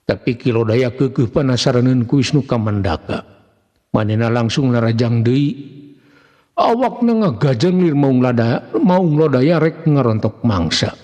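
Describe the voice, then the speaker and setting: A man with a Sundanese accent narrating a folk tale in a theatrical, expressive way, with a husky voice. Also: mid-range voice, a little slow, lo-fi sound. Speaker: storyteller. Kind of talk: dramatic scripted narration of a folk mystery tale. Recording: long hall-like reverb.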